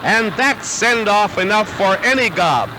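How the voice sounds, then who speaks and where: A man's voice: high-pitched, expressive, measured pace, heavy background noise. An American man delivering scripted 1940s newsreel narration in a dramatic, punchy announcer manner, on a vintage film soundtrack. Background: music.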